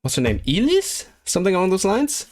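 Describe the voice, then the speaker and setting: A man's voice: high voice, wildly swooping pitch, fairly quick, a little mellow. A man streaming casually about learning languages, talking in a rambling way with many restarts and fillers, eager and enthusiastic about the subject. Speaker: language livestreamer. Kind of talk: casual livestream chat, reading viewer comments.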